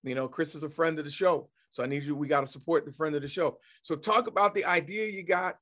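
A man's voice: a little high, fast, a bit bright. A man hosting an interview podcast, who encourages his guest and often says "yeah" to affirm him. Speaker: podcast host. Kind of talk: casual interview.

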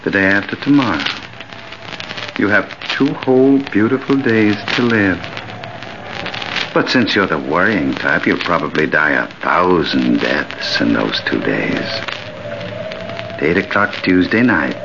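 An actor playing a cold, sardonic killer who taunts with mock politeness, in 1940s radio thriller style. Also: male voice, low-pitched, expressive, unhurried, pauses often. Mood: coldly menacing. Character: cold, mocking male killer taunting his doomed victim. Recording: muffled, noisy old 1940s radio recording.

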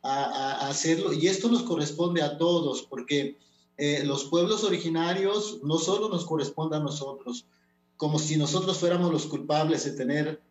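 A man's voice: fairly high pitch, moderate pace. A man from Mexico whose first language is Totonac, a poet speaking earnestly and hesitantly as a guest in a remote interview. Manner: earnest, hesitant, many fillers. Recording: echoey lo-fi remote audio.

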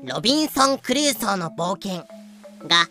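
A woman's voice: medium pitch, sing-song, normal speed, bright, forceful tone, soft background music. A woman playing a prim, knowing girl who explains history in a didactic manner in an audio drama. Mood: explanatory. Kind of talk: fan-made Japanese voice drama acting.

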